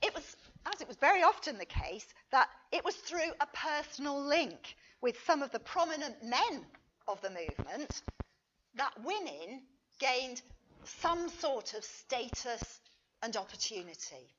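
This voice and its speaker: Female conference lecturer, unhurried and expressive, reading a prepared paper on a slightly muffled recording. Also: high voice, a little hiss or hum. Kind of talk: scripted academic lecture.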